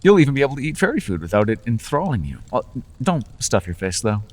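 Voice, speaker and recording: A man's voice: mid-range voice, very animated, quick pace, big-room sound. A man voicing a playful male fae patron in an ASMR fantasy roleplay, talking in a charming, teasing, conversational way with small restarts.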